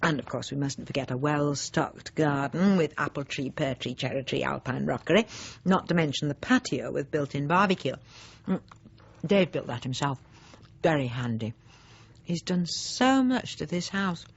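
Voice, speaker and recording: Husky, swooping, snobbish housewife. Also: female voice, low-pitched, lively intonation, normal speed, lo-fi sound, exaggerated voice. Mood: smugly proud. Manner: chatty, self-justifying, genteel, digressive with pointed emphasis. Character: snobbish, self-satisfied, resentful middle-aged housewife and mother. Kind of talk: radio drama monologue, confiding in the listener.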